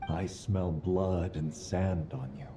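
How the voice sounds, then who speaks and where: A man's voice: low voice, slow, mellow, faint music behind. An actor playing a dead father's freed soul in a video game, speaking solemnly and tenderly. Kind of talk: dramatic video game voice acting.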